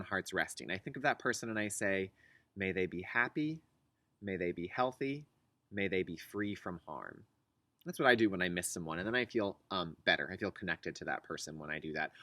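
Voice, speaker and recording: Very expressive kindergarten teacher. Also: medium pitch, dry recording. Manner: instructive, repeats key phrases, simple wording. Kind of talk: read-aloud lesson for young children.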